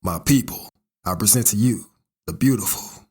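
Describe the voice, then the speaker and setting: A man's voice: fairly low pitch, animated, moderate pace, warm tone, breathy edge, soft background music. A man hosting a poetry show, delivering scripted motivational messages and announcements. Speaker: host and poet.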